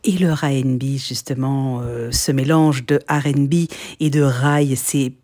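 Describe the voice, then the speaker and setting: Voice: medium pitch, steady tone, a little slow, darkish tone, dry recording, studio quality. An interviewer asking formal, polite questions, with some fillers.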